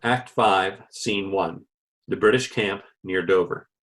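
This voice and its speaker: A man's voice: low-pitched, slow pace, a bit bright, rough recording. A man reading lines and directions in a readers' theatre production of Shakespeare.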